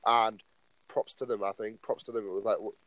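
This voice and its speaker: A man's voice: on the low side, fairly even intonation, fairly quick, fairly bright tone, no echo, poor audio. Rambling nineteen-year-old guy. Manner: rambling, leans on "like" and "obviously". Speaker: podcast co-host. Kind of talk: casual podcast chat.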